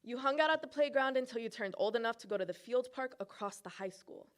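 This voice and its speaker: A woman's voice: on the high side, fast. A young woman from Hawaii performing a personal narrative at a recital, with playful asides and comic timing. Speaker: student presenter.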